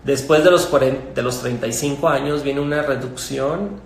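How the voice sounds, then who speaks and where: A middle-aged man with a Mexican Spanish accent, a gynecologist explaining things in a measured way with fillers and restarts. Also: mid-range voice, slight background noise. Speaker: gynecologist guest. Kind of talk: conversational explanation. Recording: echoey room with faint ambience.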